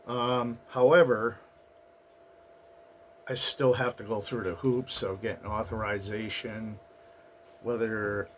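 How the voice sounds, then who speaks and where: A man hosting his own channel, talking through his past year in a halting, personal monologue, his voice raspy and hoarse from a recent flu. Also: lowish voice, level tone, slight background noise. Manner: halting, with fillers and restarts. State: getting over a flu, hoarse. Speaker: channel host. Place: at home in the US Northeast.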